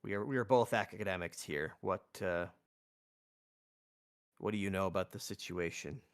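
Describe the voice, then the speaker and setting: A man plays a scholarly elf mage in a tabletop fantasy game. He is measured and probing, a little halting, with a fairly low voice. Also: no room sound, clean studio sound. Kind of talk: tabletop roleplay dialogue.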